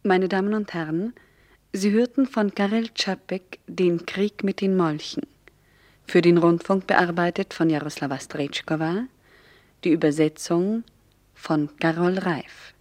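A woman radio announcer reading credits in a formal, measured, scripted manner. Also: fairly low pitch, steady tone, darkish tone, no room sound.

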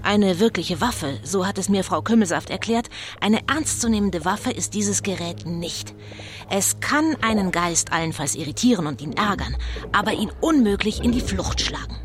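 A woman's voice: medium pitch, animated, natural voice. Actress doing a dramatic lady reporter talking into her mic for a kids ghost audio play, music behind. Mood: suspenseful reporting. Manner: dramatic, deliberate. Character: sensation-hungry female local newspaper reporter, breathless, dramatic. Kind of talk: radio play acting as live on-the-spot report.

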